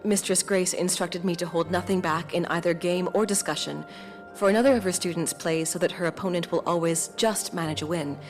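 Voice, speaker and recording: A woman playing a calm, formal woman who speaks politely and with measured logic. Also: fairly low pitch, faint music behind, lightly acted.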